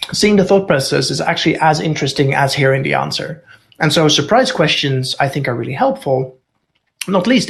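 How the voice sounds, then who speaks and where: A man's voice: fairly high pitch, vocal fry, fairly bright tone. A man who grew up in Norway, a native Dutch speaker talking in English as a guest expert, candid and thinking aloud with wry asides. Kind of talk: casual conversational interview.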